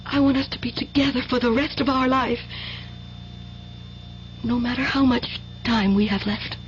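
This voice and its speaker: An actress playing a young bride-to-be who works as a psychic stage assistant, with theatrical delivery in a vintage radio drama. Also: female voice, a little high, fairly even intonation, normal speed, over music, close to an everyday voice. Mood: anxious, pleading. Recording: dull, band-limited vintage radio recording.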